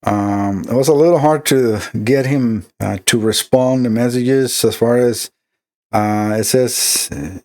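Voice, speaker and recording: A man's voice: medium pitch, measured pace, darkish tone. Costa Rican man explaining an electronics repair. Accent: Costa Rican Spanish. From Costa Rica. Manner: conversational with frequent fillers and restarts.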